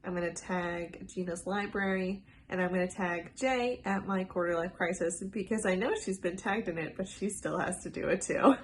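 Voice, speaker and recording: A woman's voice: lowish voice, normal speed, hardly any pauses, slight background noise. A woman making a casual book vlog, chatty and enthusiastic, sounding a bit congested from a cold. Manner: chatty, enthusiastic, filler-heavy. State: congested, under the weather. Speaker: book vlogger. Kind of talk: casual book tag video.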